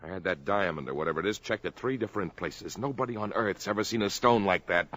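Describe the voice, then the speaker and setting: An actor playing a brash, ambitious young man, pushy and excitable, in a 1950s radio drama. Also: deep voice, fast. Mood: excited.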